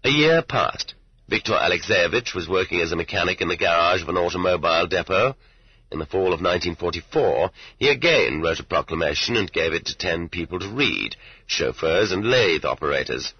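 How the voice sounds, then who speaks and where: Deep, husky-voiced male audiobook narrator. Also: animated, fairly quick. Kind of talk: scripted literary narration. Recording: dull lo-fi roomy cassette audiobook.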